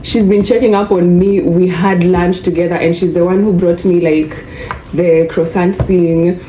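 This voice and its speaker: A woman's voice: on the low side, fairly even intonation, measured pace, mellow. A young woman from Kenya with a Kenyan accent, telling stories in a chatty vlog and slipping into Swahili slang. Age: young adult. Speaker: vlogger. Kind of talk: casual storytelling vlog. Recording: echoey room with faint noise.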